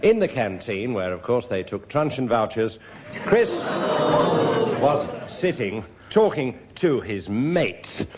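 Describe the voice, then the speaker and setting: A man's voice: medium pitch, very animated, slow. A man, a comedy panellist, improvising stories and bantering. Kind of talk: improvised comic storytelling and banter. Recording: lo-fi recording, very loud audience noise.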